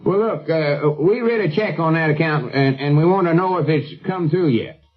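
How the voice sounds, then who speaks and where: An actor playing an earnest, cautious backwoods storekeeper with a rural Southern US accent in 1940s radio comedy, folksy and deliberate. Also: male voice, on the high side, faint music behind, natural voice. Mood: hesitant, inquiring. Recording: muffled old radio broadcast recording.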